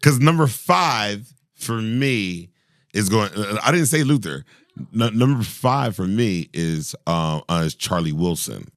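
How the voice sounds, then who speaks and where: A man in his forties with a deep voice, a singer talking as a podcast guest in casual chat, animated, laughing and often restarting his sentences. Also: unhurried, no room sound, clean studio sound.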